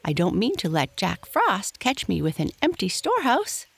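A woman's voice: medium pitch, huge pitch swings, moderate pace, gravelly, slightly rough, dry recording, pitched above the performer's own voice, exaggerated voice. A woman voicing a perky, sly young male chipmunk in an animal storybook, chirpy and teasing. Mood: cheerful, boastful. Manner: chirpy, sing-song when reciting.

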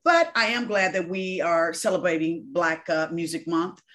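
A woman's voice: lowish voice, normal speed, fairly bright tone. A woman co-hosting a casual panel talk show, speaking expressively and with some drama.

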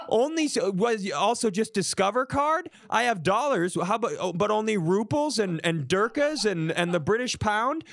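A man's voice: high voice, expressive, moderate pace, vocal fry, few pauses, a bit bright, no room sound. A man hosting a podcast, telling personal stories as comic banter in a casual, mock-indignant, profane way and acting out exchanges.

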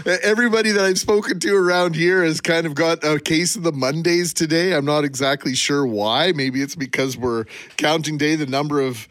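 Radio co-host, regular voice, rambles and restarts a lot, dry studio sound. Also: male voice, fairly high pitch, a little fast, few pauses, a bit bright, dry recording. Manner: chatty, rambling, with many restarts. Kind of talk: morning radio talk.

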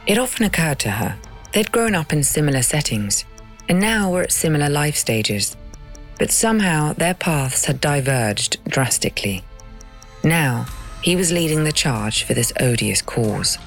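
A woman narrating and hosting a documentary podcast in a crisp, dramatic storytelling manner. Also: low voice, sing-song, a little slow, faint music behind. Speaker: narrator and host.

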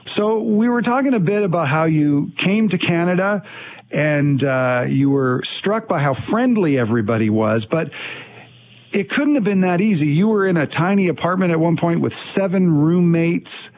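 Higher-voiced male radio host. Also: lively intonation, normal speed. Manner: gentle, conversational questioning. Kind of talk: interview questions.

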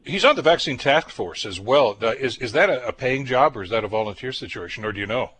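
A Canadian man hosts a talk radio interview in a middle-pitched voice. He asks questions casually, stumbling through restarts and fillers. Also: lively intonation, fast talker, a bit bright, a little hiss or hum. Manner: conversational, with frequent uhs and restarts. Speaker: radio talk show host.

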